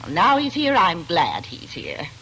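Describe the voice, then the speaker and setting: A woman's voice: on the low side, huge pitch swings, unhurried, pushed and bright, a little hiss or hum, full character voice, rough recording. An actress playing a kindly, talkative, fussy middle-aged landlady in a 1940s radio drama. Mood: brisk, firm. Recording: old 1940s radio broadcast, music behind.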